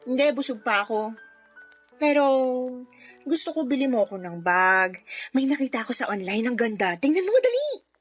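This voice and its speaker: A woman's voice: on the high side, sing-song, normal speed, pauses often, husky, soft background music. A woman in a radio drama playing a bratty, whiny live-in girlfriend in her twenties who scolds and pouts. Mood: pouty, demanding. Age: 20s. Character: bratty, nagging, hard-drinking young live-in girlfriend. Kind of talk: Tagalog radio drama acting.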